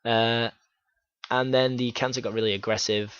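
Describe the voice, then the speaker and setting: A young man from Yorkshire telling his life story in a halting, frank vlog, full of fillers. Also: mid-range voice, flat delivery, fairly bright tone, smooth, dry recording, lo-fi sound. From Yorkshire, England. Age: young adult. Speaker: YouTuber.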